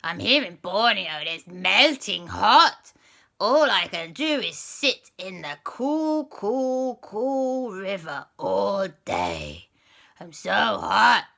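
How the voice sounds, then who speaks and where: A woman's voice: medium pitch, expressive, slow pace, gravelly, sharp tone, full character voice. A woman voicing a friendly man who is overheated in the jungle and drawls complaints about the heat.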